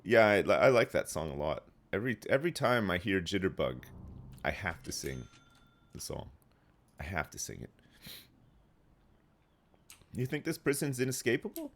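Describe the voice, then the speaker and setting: Phlegmy man, lively pitch. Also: normal speed, long pauses. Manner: rambling, reads chat and answers. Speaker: streamer. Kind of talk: casual live gameplay chat.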